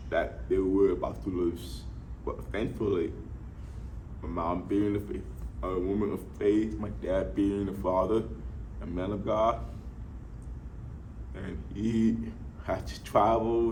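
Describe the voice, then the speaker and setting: A man in his twenties with a speech impediment, giving an earnest, halting personal testimony in short phrases. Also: low-pitched, darkish tone, slight background noise, rough recording. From Nigeria. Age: 20s. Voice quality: speech impediment, imperfect articulation. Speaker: fitness enthusiast sharing life story.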